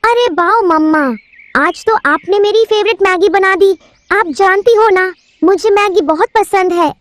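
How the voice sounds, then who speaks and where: Woman doing a small boy bird, very high. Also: measured pace. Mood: delighted. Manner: childlike, eager. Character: timid young boy bird student. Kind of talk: cartoon voice acting.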